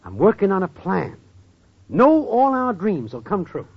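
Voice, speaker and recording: An American actor playing a lazy, scheming boyfriend with slangy street talk in a 1940s radio comedy. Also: male voice, high-pitched, wildly swooping pitch, unhurried, creaky, slightly rough, full character voice. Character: slangy scheming small-time male boyfriend, lazy dreamer. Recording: dull, noisy old radio broadcast.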